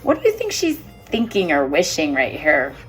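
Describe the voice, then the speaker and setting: A woman's voice: sits low, wildly swooping pitch, normal speed, faint music behind. A woman teacher reading a picture book aloud to children, chatting and asking them questions about the pages. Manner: chatty, asks the children questions and laughs along.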